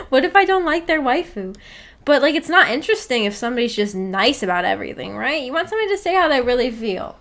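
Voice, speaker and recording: High-voiced woman chatting playfully on stream. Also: sing-song, brisk, a bit bright. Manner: giggly, playfully teasing. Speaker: streamer reading and answering viewer chat. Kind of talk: casual livestream chat.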